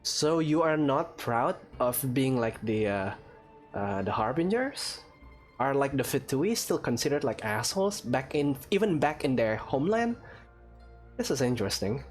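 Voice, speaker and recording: Animated young man doing hesitant game commentary. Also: medium pitch, normal speed. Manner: hesitant, playfully sarcastic. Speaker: gaming YouTuber. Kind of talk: casual let's play commentary. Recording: game music behind the voice.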